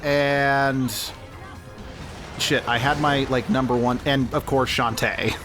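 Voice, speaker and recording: A man with a middle-pitched voice talks casually as a gaming co-commentator. There is faint music behind his voice. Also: steady tone, slow. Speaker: let's play co-commentator.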